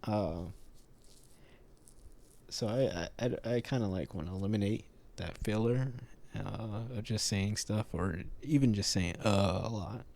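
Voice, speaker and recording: A man talking to camera in a casual, reflective monologue, slightly nasal, halting and full of pauses and fillers. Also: on the low side, vocal fry, darkish tone. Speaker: vlogger.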